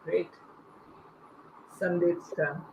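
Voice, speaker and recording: A woman moderating an unscripted panel with hesitant, halting phrasing. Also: lowish voice, steady tone, gravelly. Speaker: panel host. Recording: echoey, faintly noisy online call.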